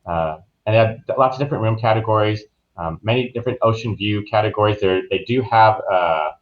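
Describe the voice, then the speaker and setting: Man, fairly low voice, dull lo-fi audio, casual travel advisor guest, informal explanatory chat, loose phrasing. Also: brisk.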